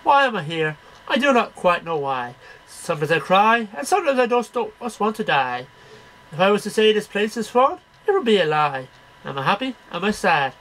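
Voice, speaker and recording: Man, animated sing-song poetry recital, forceful bright tone, home webcam sound. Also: high voice, normal speed, rough recording. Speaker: amateur poetry reader. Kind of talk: reading poems aloud to camera. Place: small cluttered bedroom. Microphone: webcam at about arm's length.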